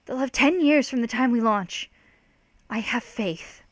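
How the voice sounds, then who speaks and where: Brave young sister, acted by a woman, earnest, reassuring, higher voice, close dry recording. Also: measured pace, no room sound. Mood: hopeful. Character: brave, hopeful, prematurely aged sister in isolation.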